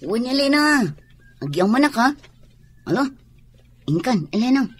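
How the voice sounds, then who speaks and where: A woman's voice: on the high side, big pitch swings, a little slow. A woman playing a gracious, friendly family in-law in an Ilocano radio drama.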